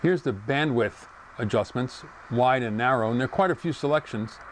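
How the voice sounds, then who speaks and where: A man's voice: mid-range voice, normal speed. A man in his sixties casually reviewing a portable radio, thinking aloud and correcting himself as he goes, recorded on a lapel mic at home and on a screened porch. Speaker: ham radio hobbyist reviewing a radio. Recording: radio static and noise behind.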